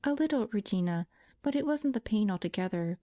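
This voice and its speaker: A woman's voice: mid-range voice, lively intonation, a little fast, mellow, dry recording, studio quality, close to an everyday voice. A woman voicing a frail, pious young invalid woman who pleads tenderly and tearfully. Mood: gentle.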